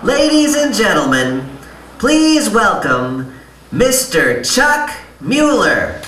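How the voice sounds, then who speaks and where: High, swooping pious squirrel announcer. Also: male voice, big pitch swings, a bit bright, exaggerated voice. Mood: showy announcing. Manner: mock-earnest, showy, deliberate delivery. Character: pious, chirpy squirrel puppet announcer, male. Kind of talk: theatrical puppet-show announcing and preaching. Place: live on stage in a music venue. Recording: echoey live hall, distant, faint noise.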